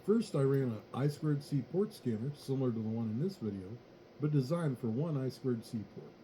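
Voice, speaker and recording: A man narrates a scripted how-to in a very soft-edged, dark voice at a middle pitch. His delivery is measured and matter-of-fact, with faint noise in the recording. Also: expressive, low-quality recording. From United States. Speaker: electronics tutorial narrator.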